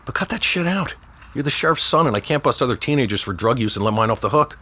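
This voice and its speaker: An actor playing a middle-aged small-town sheriff and father in an audio drama, wry, measured and protective in his talk. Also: medium pitch, expressive, sharp tone, husky. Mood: stern. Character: small-town sheriff dad, teasing yet protective and steady. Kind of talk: naturalistic audio drama acting.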